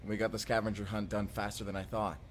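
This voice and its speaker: A man playing an excitable, friendly companion in a science fiction audio roleplay, speaking casually and expressively. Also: lowish voice, fast talker, close to an everyday voice. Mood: relieved, excited. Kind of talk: immersive first-person audio roleplay acting.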